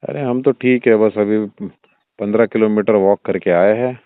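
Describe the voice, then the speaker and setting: Older father-in-law played by a man, lowish voice, indulgent and coaxing, conversational phone-drama delivery over a narrow phone line. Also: steady tone, normal speed, no room sound, close to an everyday voice. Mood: casual, familiar. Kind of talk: staged phone-call audio drama in everyday conversational speech. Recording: muffled narrow-band phone-line sound.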